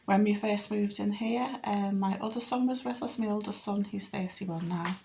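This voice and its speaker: A woman's voice: mid-range voice, fairly even intonation, moderate pace, creaky. Liverpool woman in her fifties, noisy recording. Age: 50s. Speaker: home renovation vlogger. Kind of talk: casual house tour chat. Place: inside a house.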